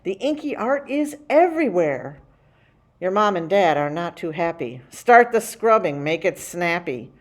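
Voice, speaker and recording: A woman hosting a library story time, reading a rhyming picture book to children in a theatrical, rhythmic way with dramatic slowing. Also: lowish voice, sing-song. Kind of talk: expressive picture book read-aloud for children.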